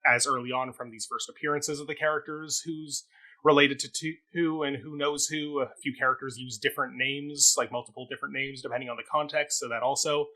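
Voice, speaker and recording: A man's voice: mid-range voice, fairly even intonation, fairly quick, pushed and bright, rough recording. A man with a Canadian accent chatting casually as a reviewer, rambling, hesitant and a little self-deprecating. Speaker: co-host and reviewer. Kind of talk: casual chat, improvised synopsis.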